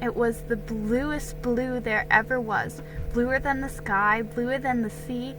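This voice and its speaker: A woman giving an expressive, deliberate dramatic reading of a children's historical storybook. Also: on the high side, music in the background, low-quality recording. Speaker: storybook reader.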